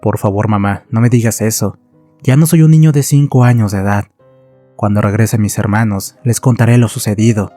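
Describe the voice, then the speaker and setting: A man plays a worried teenage son in a narrated horror story. He speaks, earnest and reproachful. The voice is lowish and mellow, with faint music underneath. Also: fairly quick, no room sound, natural voice. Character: worried sixteen-year-old son scolding his mother.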